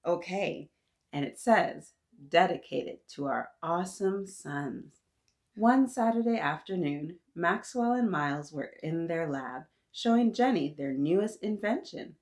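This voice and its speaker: A woman hosting an interactive storytime, reading aloud to young children in an animated, encouraging way and talking directly to her little listeners. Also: lowish voice, measured pace.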